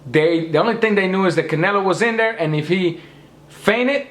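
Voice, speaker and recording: A man's voice: high voice, brisk, a bit bright, echoey room. A man losing his voice, ranting emphatically about boxing and lecturing his viewers. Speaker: boxing commentator. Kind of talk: opinionated rant to camera.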